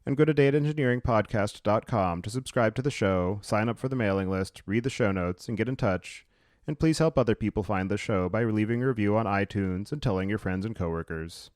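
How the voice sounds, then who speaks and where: A man reading scripted podcast host segments in a measured, articulate way. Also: fairly low pitch, a little mellow, dry recording, studio quality. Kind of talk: scripted podcast read and interview.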